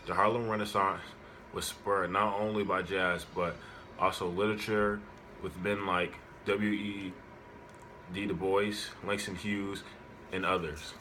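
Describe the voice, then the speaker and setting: A man reads out a prepared informative speech as a student speaker, in halting, broken phrases. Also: low-pitched, little pitch movement, pushed and bright, a little hiss or hum.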